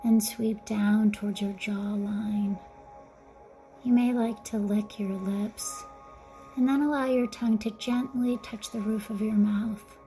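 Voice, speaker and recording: A woman guiding a mindfulness meditation in a gentle, soothing, softly spoken way, with breathy phrase endings. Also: medium pitch, steady tone, takes it slowly, faint music behind. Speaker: meditation guide. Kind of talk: guided mindfulness meditation narration.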